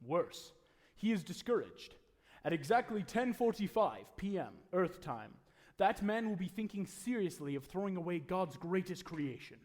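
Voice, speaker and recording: Man as a measured head angel in a hall. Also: sits high, big pitch swings, darkish tone. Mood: calm, authoritative. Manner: measured, patient, senior. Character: calm authoritative male superintendent of angels. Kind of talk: radio play acting. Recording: echoey live hall taping.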